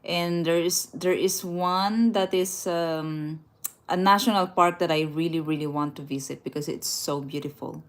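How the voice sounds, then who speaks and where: A woman's voice: lowish voice, fairly even intonation, moderate pace. A woman from the Philippines, chatting casually on a travel livestream, laughing often and restarting her sentences. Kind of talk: casual live chat, reading viewer comments aloud. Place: indoors, a rented room at night.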